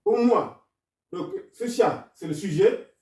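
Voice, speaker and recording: A man with a higher-than-usual voice speaks French with a non-native accent, setting out a grammar lesson point by point. He sounds insistent and argumentative as he explains. The recording is roomy and echoey, with the mic set back from him. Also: expressive, slow pace, mellow, far from the mic. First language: not French. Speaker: self-taught grammar commentator.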